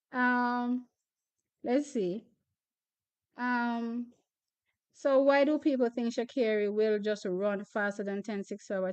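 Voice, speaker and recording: A woman's voice: high voice, clean tone, dry recording. A young woman from Jamaica hosting a track and field channel, chatting casually with viewers, laughing often and repeating herself. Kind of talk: casual chat reading viewer comments.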